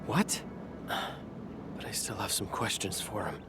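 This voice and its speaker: Low, subdued, weary man. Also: takes it slowly, very noisy. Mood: puzzled. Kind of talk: video game voice acting.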